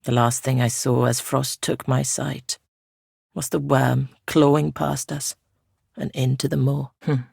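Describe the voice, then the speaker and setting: A woman voicing an ancient, weary spirit guardian in a video game, speaking softly in solemn, measured, formal archaic phrases. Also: very deep voice, flat delivery, no room sound, studio quality, heavily acted. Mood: grave, mournful. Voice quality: soft, breathy, creaky. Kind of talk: video game character dialogue.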